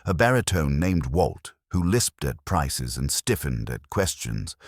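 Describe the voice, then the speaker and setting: Really deep guy reading a detective story, wry and hard-boiled, lively pitch swings, dry sound. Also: no room sound. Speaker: audiobook narrator. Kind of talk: first-person detective story narration.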